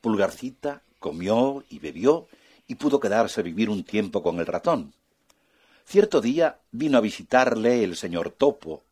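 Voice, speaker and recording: Expressive husky storyteller man. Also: on the low side. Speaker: narrator. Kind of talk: children's storytelling.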